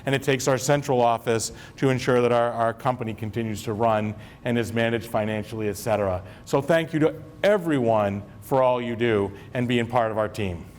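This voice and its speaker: A man's voice: mid-range voice, a little fast, hardly any pauses, a little hiss or hum. A man from Maine, a school superintendent, giving a chatty, joking convocation speech to his staff in a large hall. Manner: chatty, self-deprecating, joking with the audience. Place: large hall with a live audience.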